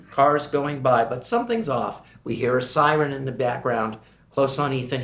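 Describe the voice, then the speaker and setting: A man's voice: mid-range voice, fairly bright tone. A man narrating scripted stage directions from a screenplay as the reader at a table read. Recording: roomy echoing table-read recording.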